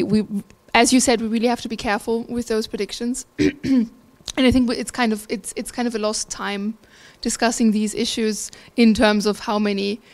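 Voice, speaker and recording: Young woman from Austria, gravelly voice. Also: mid-range voice, a little mellow. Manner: earnest, with many fillers. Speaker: student discussant. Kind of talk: asking a question at a seminar. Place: lecture room. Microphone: handheld mic.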